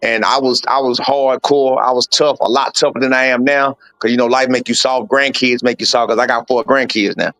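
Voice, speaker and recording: Casting note: forties-age man, coach type, candid and blunt, mid-pitched, dry close sound. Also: steady tone, brisk, hardly any pauses, fairly bright tone, no room sound. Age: 40s. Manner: candid, blunt storytelling with slang and swearing. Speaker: boxing coach and father of a fighter. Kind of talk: casual podcast interview.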